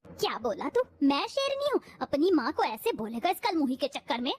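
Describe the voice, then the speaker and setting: A shrill, cruel mother-in-law. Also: very animated, normal speed. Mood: taunting. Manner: scolding, taunting, insulting.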